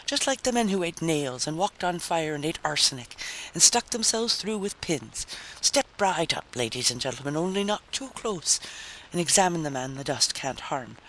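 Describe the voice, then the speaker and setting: A man's voice: on the high side, expressive, normal speed, pushed and bright, slight background noise, low-quality recording. A man voicing a cynical male wasteland drifter in a story reading, with a blunt, theatrical, snarling manner. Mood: bitter showmanship.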